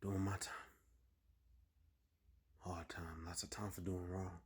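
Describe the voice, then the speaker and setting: An actor playing a weary, aggrieved prison inmate in a dramatic monologue, muttering in terse street vernacular. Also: male voice, deep voice, level tone, slow, breathy edge, exaggerated voice. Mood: weary, resentful.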